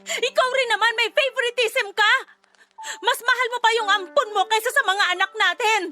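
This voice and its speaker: Bereaved young-sounding mother for radio drama: defensive, reproachful, piercingly high, light music underneath. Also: fairly even intonation, normal speed, pushed and bright. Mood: indignant. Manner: indignant, tearful, reproachful. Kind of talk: emotional radio drama acting.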